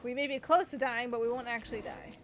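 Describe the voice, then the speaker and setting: A woman giving casual live game commentary, chatty and thinking out loud as she reacts. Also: a little high, fairly even intonation, fast talker, faint music behind. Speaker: game streamer.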